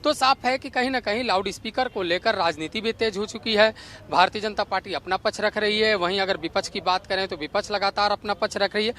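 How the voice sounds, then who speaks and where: A male field reporter giving a steady news report outdoors, with a few small restarts. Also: high voice, brisk.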